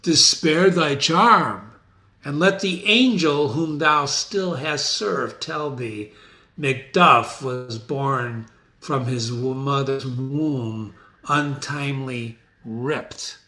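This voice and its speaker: A man's voice: mid-range voice, drawn-out words, sharp tone, roomy sound. A man playing a vengeful, grieving warrior nobleman, calling out challenges in archaic verse. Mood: fierce challenge.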